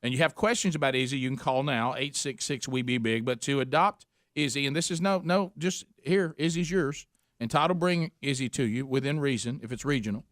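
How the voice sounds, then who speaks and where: A man's voice: mid-range voice, brisk, a bit bright, no echo, studio quality. A man hosting a radio talk show in easy, chatty banter. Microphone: studio microphone.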